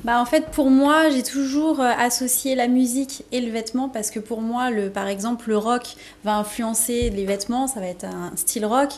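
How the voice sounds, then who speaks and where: A woman's voice: on the high side, steady tone, moderate pace, few pauses, darkish tone. A young woman from France, a fashion designer, explaining her work eagerly in a TV interview. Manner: eager, explanatory, laughs easily. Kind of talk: TV interview answers. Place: TV studio.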